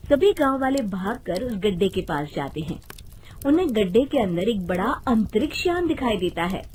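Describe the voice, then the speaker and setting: A woman's voice: mid-range voice, sing-song, moderate pace, a little mellow, slight background noise. A woman narrating a scripted children's cartoon story.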